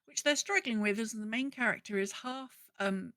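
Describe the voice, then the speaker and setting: A woman's voice: on the high side, moderate pace, sharp tone, no echo, clean studio sound. A woman co-hosting a podcast, casually chatting and reading out updates. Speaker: podcast co-host.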